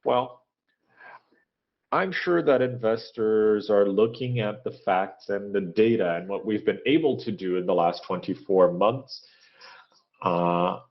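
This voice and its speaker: A man giving a corporate earnings presentation in a halting, measured business manner, pausing often to hesitate. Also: on the low side. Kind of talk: corporate earnings call presentation and question answering, unscripted. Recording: dull, lo-fi conference call audio.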